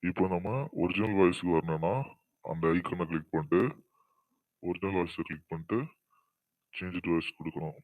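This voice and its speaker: A man's voice: steady tone, normal speed, long pauses, narrow, tinny audio. A man using a voice changer to sound like a hard, heavy ghostly man while giving plain tutorial instructions. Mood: instructional. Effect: voice changer, lowered pitch. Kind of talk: app tutorial demonstration.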